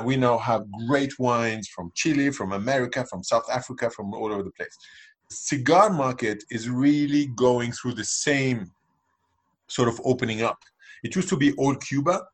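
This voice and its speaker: A man's voice: mid-range voice, slightly rough. A middle-aged man from Lebanon, a podcast guest explaining his views earnestly in conversational answers. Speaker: podcast guest, cigar entrepreneur.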